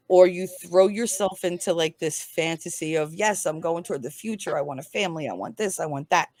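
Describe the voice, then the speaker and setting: A woman's voice: low voice, dry recording. A woman giving a casual tarot reading, speaking in a frank, informal, explanatory way. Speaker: tarot reader, guest.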